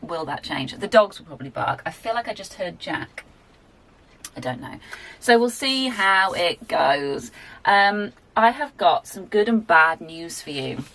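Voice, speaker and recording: A woman's voice: on the low side, lively intonation, measured pace, a bit bright, poor audio. A woman, chatting casually and self-deprecatingly in a book vlog recorded in a garden shed. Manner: rambling, self-deprecating, with fillers and laughs. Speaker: book vlogger. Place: garden shed, rural area.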